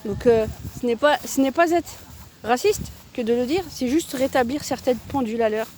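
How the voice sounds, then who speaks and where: A woman's voice: fairly high pitch, moderate pace, a little mellow, a little hiss or hum. Emphatic French woman vlogging outdoors. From France. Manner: emphatic, repetitive, stumbling restarts. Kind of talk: outdoor walking vlog monologue. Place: outdoors on a walk in a town.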